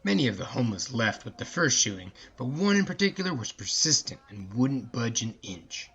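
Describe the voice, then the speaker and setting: A man's voice: mid-range voice, big pitch swings, normal speed, a bit bright, slightly rough. A man hosting a podcast and telling a scripted story in a casual narrator's style. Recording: slightly muffled, music behind. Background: music.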